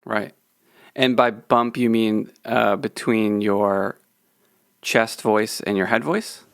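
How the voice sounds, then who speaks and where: Male interviewer, low gravelly voice, dry close sound. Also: fairly even intonation, takes it slowly, dry recording. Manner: attentive, agreeable, hesitant phrasing. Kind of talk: interview questions.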